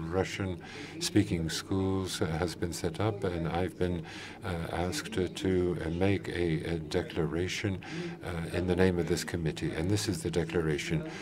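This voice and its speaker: A man with a very low voice delivers a formal statement at a conference, stopping often to fill gaps with hesitant sounds. He is from Ukraine and speaks for a civic group. A crowd murmurs audibly in the hall around him. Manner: halting, frequent uh fillers. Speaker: NGO coordinator speaking at a conference session.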